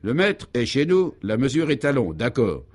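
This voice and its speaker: A man's voice: medium pitch, big pitch swings, normal speed, darkish tone, husky. A man acting out an assured, sententious driver in a comic radio serial, explaining absurdities matter-of-factly. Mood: patient, assured.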